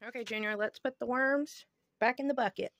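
Woman in her thirties, fairly high voice, chatty, informal homestead vlogger, outdoor phone recording. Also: fairly quick, fairly bright tone, dry recording. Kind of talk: casual how-to vlog. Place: outdoors on a home porch. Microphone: phone at arm's length or handheld.

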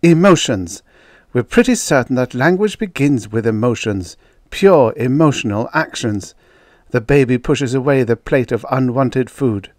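A man voicing a quick-tempered woman academic who argues and lectures with emphatic conviction. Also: medium pitch, expressive, darkish tone, no echo, studio quality, pitched above the performer's own voice, lightly acted. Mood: earnest lecturing.

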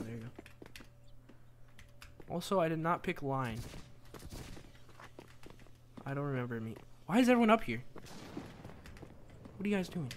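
A young man giving casual, rambling commentary while he plays a game live, excitable and joking at his own expense. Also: a little high, a little hiss or hum. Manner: excitable, rambling, self-mocking, quick laughs. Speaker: streamer.

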